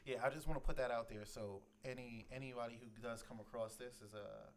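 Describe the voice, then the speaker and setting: A man from Jamaica who grew up in Queens, co-hosting a casual podcast, easygoing and halting. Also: mid-range voice, steady tone. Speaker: podcast co-host. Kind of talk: casual chat. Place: apartment in the Bronx. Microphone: handheld recorder mics.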